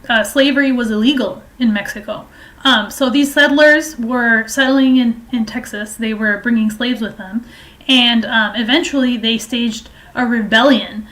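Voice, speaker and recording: Woman, higher-pitched voice, informal political commentary, hesitant halting delivery, explanatory. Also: a bit bright, rough recording.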